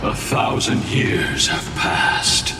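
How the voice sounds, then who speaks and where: A man voicing an ancient, world-weary warrior guide in a fantasy game, speaking in a solemn, measured way. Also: recorded from a distance. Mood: grave, solemn. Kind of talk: scripted fantasy game dialogue. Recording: echoey long reverb, loud music behind.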